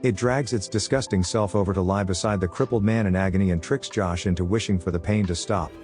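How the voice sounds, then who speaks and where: Low-voiced sardonic man narrating over music. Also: a little fast, a little mellow. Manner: sardonic, flippant asides. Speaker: movie recap narrator. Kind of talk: scripted narration of a film plot.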